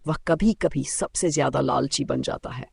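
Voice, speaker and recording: A man as a cunning old village woman, creaky. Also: mid-range voice, normal speed, a little hiss or hum, pitched below the performer's own voice. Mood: knowing.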